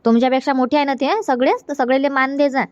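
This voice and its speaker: A woman's voice: high voice, steady tone, fast, no echo, dull audio, natural voice. A woman voicing a middle-aged mother in a comedy cartoon, giving firm instructions with a Varhadi Marathi accent. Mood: instructing. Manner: bossy, instructive, scolding gently.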